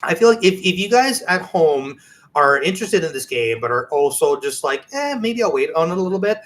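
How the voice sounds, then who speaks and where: Male podcast co-host with a slightly high voice, relaxed conversational gaming talk. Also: fairly quick. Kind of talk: casual gaming chat.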